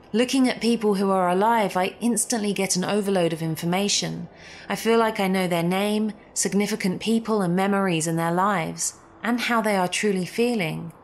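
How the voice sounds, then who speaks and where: A woman's voice: medium pitch, a little hiss or hum. A woman reading a first-person story as a scripted narrator. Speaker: narrator reading listener stories.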